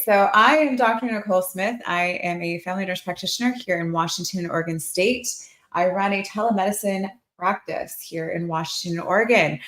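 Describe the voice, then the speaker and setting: An American woman in her forties hosts a casual livestream Q&A as a nurse practitioner. Her medium-pitched voice is expressive and chatty, speaking directly to her audience. The sound is noticeably roomy and echoey. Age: 40s. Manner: chatty, informal, expressive, addressing viewers directly. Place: indoor clinic room under construction.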